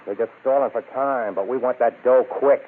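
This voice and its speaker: An actor playing a menacing, tough gang leader in an old crime radio drama. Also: male voice, mid-range voice, moderate pace, natural voice. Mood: threatening. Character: menacing tough gangster leader named Joe. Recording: noisy, tinny old radio recording.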